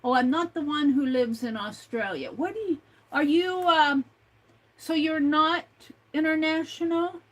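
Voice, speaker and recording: Slow, chatty woman, high voice. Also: rough recording. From United States. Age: older. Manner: slow, chatty, with many fillers and restarts. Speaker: art livestream host. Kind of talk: casual livestream chat reading comments.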